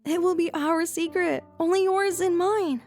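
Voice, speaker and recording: Woman as a young schoolgirl, audio drama character, high pitch, creaky edge, unhurried delivery. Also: fairly even intonation, darkish tone, faint music behind. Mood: gentle, confiding. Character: young schoolgirl confiding in and pleading with a friend.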